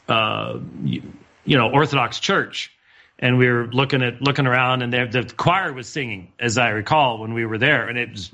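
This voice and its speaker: A man's voice: mid-range voice, bright, forceful tone. A male co-host musing aloud in meandering questions, full of fillers. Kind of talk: radio interview discussion.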